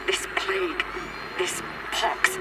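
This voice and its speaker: A man's voice: takes it slowly, heavy background noise. An actor playing a frightened, urgent man sending formal, pleading distress transmissions over radio in a sci-fi game. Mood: grave, urgent. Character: frightened, desperate male radio broadcaster in hiding during invasion.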